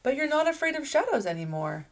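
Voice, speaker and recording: A woman voicing a big, loud, eager moose in a children's storybook, with an emphatic way of talking. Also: high voice, big pitch swings, quick pace, voice pushed up. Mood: coaxing. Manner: bellowing, emphatic.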